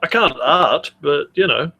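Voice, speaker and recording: A man's voice: fairly high pitch, animated, a little slow, gravelly, sharp tone. A man co-hosting a comedy podcast with casual banter, wry, blunt and happy to swear. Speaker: podcast co-host.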